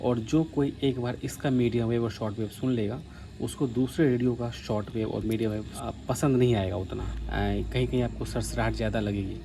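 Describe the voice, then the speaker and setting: A man from India giving a casual, explanatory hobbyist demonstration, pausing now and then mid-sentence. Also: mid-range voice, steady tone, vocal fry, dark tone. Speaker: vlogger demonstrating a vintage radio. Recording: faint background noise.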